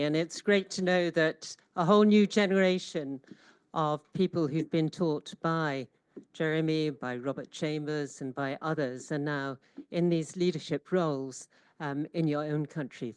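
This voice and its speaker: Woman moderating a webinar, deep smooth voice, dry close sound, gracious. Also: unhurried, no echo. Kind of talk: chairing a webinar.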